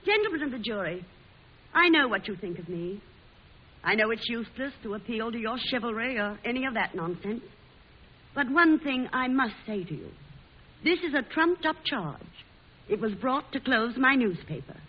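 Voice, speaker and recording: An actress playing a defiant, fearless newspaper publisher in an old-time radio drama, with emphatic delivery. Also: female voice, a little high, expressive, normal speed, husky. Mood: defiant, solemn. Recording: hissy, muffled old radio broadcast recording.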